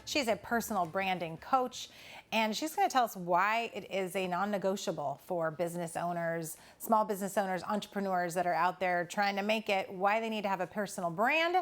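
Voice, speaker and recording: Woman, show host, chatty, upbeat, mid pitch. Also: fairly quick. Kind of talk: talk show interview.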